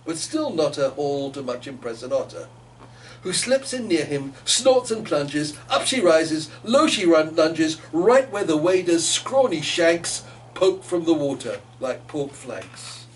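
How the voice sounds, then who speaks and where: A man's voice: a little high, big pitch swings, measured pace. A man giving a live poetry reading in a theatrical, playful way, with chatty asides to listeners. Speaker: poet reading own work. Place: gallery reading in front of listeners. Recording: noisy room, distant microphone.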